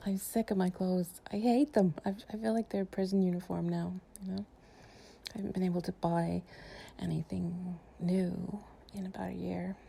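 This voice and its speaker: A woman chatting casually and intimately to the listener in an improvised relaxation roleplay, softly and breathily with drops into whispering, in a playful, sleepy, confiding way. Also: lowish voice, steady tone, moderate pace. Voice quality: soft, breathy, often dropping to a whisper. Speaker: audio roleplay performer playing a loving companion.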